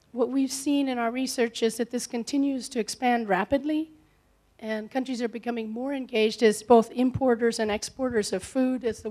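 A woman speaking as a conference panelist, hoarse from a cold, answering in a measured way and often clearing her throat. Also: a little high, darkish tone. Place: conference hall.

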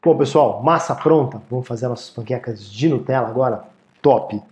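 Guy in his 30s walking you through a recipe, mellow warm voice, chatty, keeps checking in. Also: mid-range voice, moderate pace. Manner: friendly, chatty, keeps asking "tá, gente?". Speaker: cooking show host. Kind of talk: casual cooking demonstration. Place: small home-style studio kitchen, indoors.

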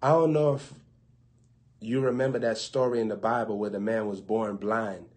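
A young man preaching on a livestream in front of an open Bible, playfully acting out skeptics and cracking quick asides. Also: fairly low pitch, steady tone, a little mellow. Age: young adult. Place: indoors before a gold bokeh backdrop with an open Bible. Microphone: webcam close up.

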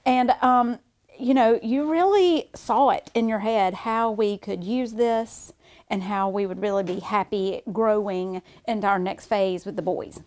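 A woman's voice: medium pitch, unhurried, a little mellow. A woman in her forties chatting casually and enthusiastically as she leads a tour of her home renovation, filmed by a camera a few steps away in a bare-framed basement. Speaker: homeowner and show host. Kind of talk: casual interview chat. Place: gutted basement under renovation, indoors. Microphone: far camera.

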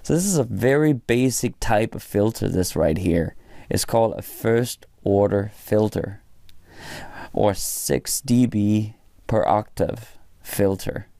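A man giving an informal hands-on technical explanation in a halting way, often pausing mid-sentence. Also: lowish voice, gravelly, dark tone, no room sound, clean studio sound. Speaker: presenter of a hobby electronics tutorial.

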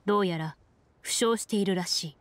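A woman playing a composed, serious young duelist who tells lore in a measured, matter-of-fact way for a video game. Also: medium pitch, lightly acted. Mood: measured narration. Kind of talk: scripted game dialogue and storytelling.

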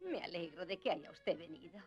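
Actress as a lively, gracious elderly grandmother. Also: lowish voice, fairly quick, highs cut off, over music, close to an everyday voice. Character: gracious, kindly elderly grandmother, welcoming hostess. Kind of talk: Spanish-language animated series dubbing.

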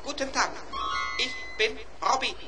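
An actor voicing a fussy, eager little robot in a radio play, speaking haltingly and repeating words mechanically. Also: high voice, over loud music. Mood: matter-of-fact.

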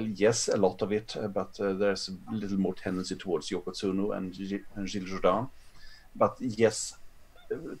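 A man's voice: lowish voice, darkish tone, husky. A man from Sweden, a game designer guest in a casual podcast interview, talking hesitantly with many fillers.

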